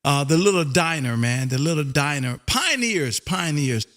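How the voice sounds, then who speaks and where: An American man hosting a ham radio stream, chatting in a loud, folksy, chummy way and often saying "man". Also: fairly high pitch, lively intonation, moderate pace. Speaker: amateur radio operator and livestream host. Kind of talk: casual ham radio contact chat.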